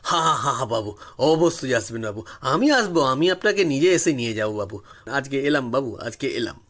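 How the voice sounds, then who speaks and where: A man's voice: medium pitch, very animated, normal speed, a bit bright, pitched below the performer's own voice. A man voicing a humble, eager rustic in his late fifties with a Hindi-tinged accent, fawning and repeating himself in a story. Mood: effusive, pleading. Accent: non-Bengali, Hindi-tinged Bengali. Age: 50s. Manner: obsequious, effusive, repetitive.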